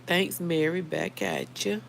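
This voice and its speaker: An American woman talks earnestly and conversationally to her livestream audience. Her voice is slightly hoarse with a creaky edge, and she speaks at a slow pace. There is faint noise behind her. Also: lowish voice, huge pitch swings. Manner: conversational, earnest, addresses listeners directly. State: a little hoarse. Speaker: livestream host. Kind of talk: casual live chat reading viewer comments.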